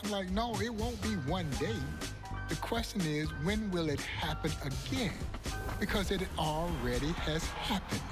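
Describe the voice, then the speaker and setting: A man's voice: high-pitched, expressive, measured pace. An American man narrating documentary-style stories with emphatic, folksy oratory. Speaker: baseball museum storyteller. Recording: music bed under the voice. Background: loud background music.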